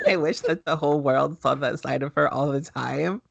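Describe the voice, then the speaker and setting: A man co-hosting a casual podcast, talking in an expressive, animated way and laughing often. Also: a little high, a little slow, creaky, dull audio, a little hiss or hum. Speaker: podcast co-host. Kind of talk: casual chat. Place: indoors.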